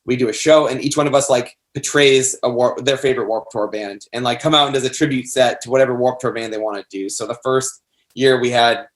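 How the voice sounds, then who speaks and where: A man's voice: medium pitch, steady tone, a little fast. Young American man chatting casually. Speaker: band member being interviewed. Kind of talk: casual chat.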